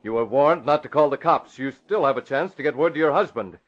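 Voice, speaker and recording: A man's voice: medium pitch, brisk, very noisy, close to an everyday voice. Guy acting a bossy confident leader on old radio, firm clipped talk, muffled sound. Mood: stern, threatening. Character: commanding, assured man, masked avenger and newspaper boss. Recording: dull vintage radio recording.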